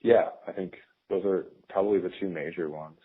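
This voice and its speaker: Male panelist, low and flat with a husky edge, halting business commentary over telephone. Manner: halting, repeats words. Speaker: industry panelist from a company. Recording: narrowband muffled teleconference phone line.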